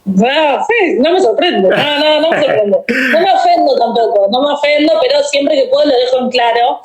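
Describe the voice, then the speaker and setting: A young woman with a Uruguayan accent, chatting as a guest about her travels in a lively, storytelling way. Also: high voice, normal speed. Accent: Uruguayan Spanish. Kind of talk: casual interview talk. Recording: echoey room.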